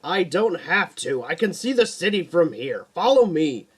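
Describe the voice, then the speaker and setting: A man's voice: a little high, big pitch swings, normal speed, husky. A man voicing a hot-headed young college student with superpowers in an audiobook, exclamatory and easily frustrated. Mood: confident.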